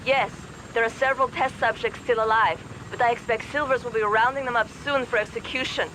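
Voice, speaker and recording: A woman's voice: fairly high pitch, fairly even intonation, fairly quick, lightly acted. An actress playing an embittered female scientist in danger in a video game, grave, urgent and dramatic. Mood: grave, bitter. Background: very loud music, vehicle noise.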